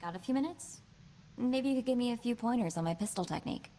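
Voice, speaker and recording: A woman voicing a quick-witted, sardonic young female hacker in a space video game, chatty in manner. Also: a little high, animated, dark tone, a little hiss or hum, natural voice. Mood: playful, teasing.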